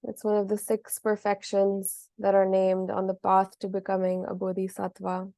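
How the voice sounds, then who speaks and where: Soft-edged woman guiding meditation, flat and mellow. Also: medium pitch, dry recording. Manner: gentle, measured, with long pauses. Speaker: meditation teacher. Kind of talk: slow guided meditation.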